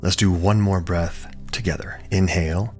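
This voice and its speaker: Low-voiced man guiding a meditation. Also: loud music behind the voice. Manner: calm, soothing, measured delivery with short phrases and pauses. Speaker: yoga and meditation teacher leading a guided practice. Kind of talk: guided meditation, gentle instruction.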